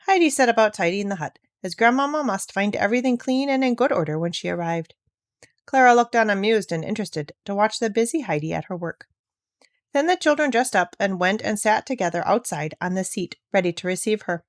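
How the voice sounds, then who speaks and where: A woman reads a children's storybook aloud. Her voice sits at a medium pitch, with careful narrative phrasing. The recording is dry, with little room sound. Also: animated, brisk, no echo. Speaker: narrator, reader. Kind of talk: scripted storybook read-aloud.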